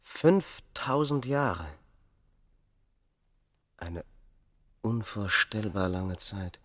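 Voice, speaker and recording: An actor playing a scholar's sceptical friend, formal and probing, in a German radio play. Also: male voice, fairly low pitch, lively intonation, extremely slow, fairly bright tone, exaggerated voice. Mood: probing, awed.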